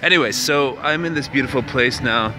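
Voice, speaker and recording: A man's voice: mid-range voice, fairly quick. Rambling man vlogging on a noisy street. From Canada. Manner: rambling, musing, many fillers and restarts. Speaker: vlog host. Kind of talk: casual walking vlog monologue. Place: outdoors on city streets. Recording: outdoor street noise.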